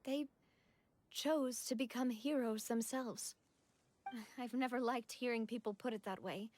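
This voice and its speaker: An actress playing a grieving, resolute young noblewoman in a video game, earnest and deliberate. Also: fairly high pitch, fairly even intonation, darkish tone, slight background noise, close to an everyday voice. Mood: solemn, grieving.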